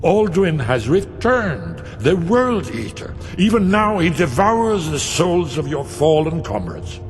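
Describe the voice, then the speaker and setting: Impassioned fantasy warrior, higher male pitch, scolding, oratorical, echoing hall, music. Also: big pitch swings, slow. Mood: urgent, reproachful. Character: grave, impassioned warrior pleading against doom. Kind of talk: dramatic fantasy game dialogue.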